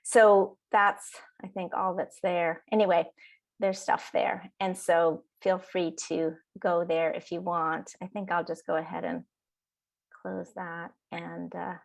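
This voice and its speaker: Gravelly-voiced woman leading a workshop. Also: lowish voice, flat delivery, takes it slowly. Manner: rambling, full of fillers and restarts. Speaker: social worker leading a workshop. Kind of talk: casual workshop talk.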